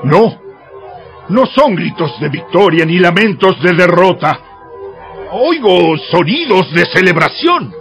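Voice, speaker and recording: Male pleading, indignant prophet for audio Bible drama; high, halting, drawn-out delivery over music. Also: very animated, a bit bright, muffled recording, heavily acted. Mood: grave. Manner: halting, stammering.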